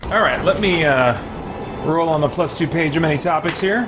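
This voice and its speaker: A man's voice: a little high, normal speed, background noise. A middle-aged man hosting in casual chat, with a wry, informal, complaining way of talking. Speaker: host. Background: music.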